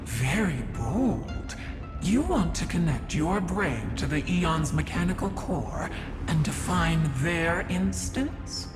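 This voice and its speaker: An actress voicing a composed, quietly predatory woman genius in a video game, with measured, coolly menacing phrasing. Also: lowish voice, expressive. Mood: cool intrigue. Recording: echoey room with music behind.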